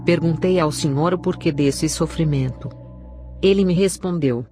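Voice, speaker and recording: Low-voiced Brazilian woman narrating over music. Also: moderate pace, mellow. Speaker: narrator of a religious testimony. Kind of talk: scripted dramatic testimony narration. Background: prominent music.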